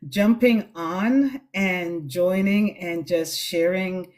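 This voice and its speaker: Woman, low voice, live-stream host, reassuring, chatty, spiritual guidance. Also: drawn-out words, a little mellow. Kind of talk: casual live-stream chat. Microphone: phone on a live stream.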